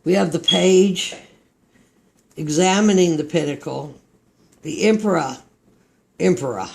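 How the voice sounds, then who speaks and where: A man's voice: on the high side, vocal fry, background noise. A man with a Texas accent giving a tarot card reading in a meandering, reflective way. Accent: Texan. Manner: slow, meandering, reflective delivery. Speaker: tarot reader.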